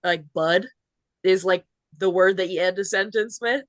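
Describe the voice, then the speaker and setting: Guest: prairie-accented Canadian woman, mid-pitched, dry close sound, easygoing. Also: moderate pace, dry recording. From Saskatchewan, Canada. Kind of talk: casual chat.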